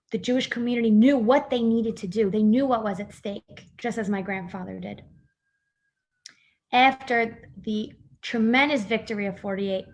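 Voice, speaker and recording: Earnest young woman storyteller. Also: on the high side. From Brooklyn, New York. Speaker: guest speaker, Israel education organization staffer. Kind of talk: prepared personal family history talk.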